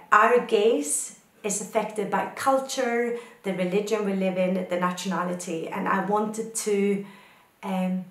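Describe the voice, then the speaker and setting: A woman's voice: medium pitch, reverberant. A woman from Sweden, a photographer, answering questions in a reflective personal talk with thoughtful, poetic phrasing.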